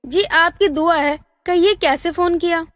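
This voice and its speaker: A proud, bossy middle-aged mother-in-law acted by a woman from a family storybook tale. She speaks very high, and the recording is close and dry. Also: unhurried, a bit bright, dry recording. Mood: polite.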